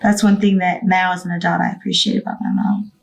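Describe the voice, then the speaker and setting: A woman's voice: on the low side, flat delivery, quick pace, vocal fry. A twenty-nine-year-old woman speaking thoughtfully in a casual discussion, correcting herself as she goes.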